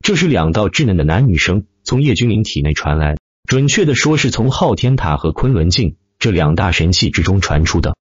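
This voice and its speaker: A man's voice: low voice, sing-song, a little fast, few pauses, husky, no room sound, lo-fi sound. A man narrating a dramatic fantasy audiobook from a script.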